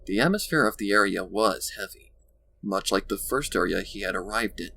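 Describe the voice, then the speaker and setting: A man's voice: on the low side, expressive, normal speed, creaky, bright, forceful tone. A man reading scripted story narration as the narrator of a dramatic tale.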